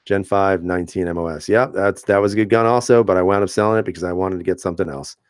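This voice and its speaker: A man hosting a casual live stream, reading viewer comments aloud and answering in a relaxed, chatty way with frequent fillers and easy laughs. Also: low-pitched, fast, clear, resonant tone, no room sound, studio quality. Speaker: channel host reading live chat.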